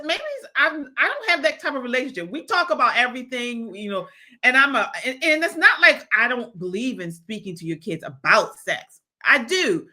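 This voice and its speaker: A woman talking in casual political chat, animated and quick to agree. Her voice sits high and bright, and it leaps up and down as she goes. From Maryland, United States. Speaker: co-host.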